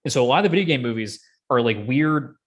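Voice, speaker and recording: A man's voice: medium pitch, lively intonation, fast. A man co-hosting a podcast, chatting casually about entertainment with joking, sardonic asides. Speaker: podcast co-host. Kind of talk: casual movie discussion.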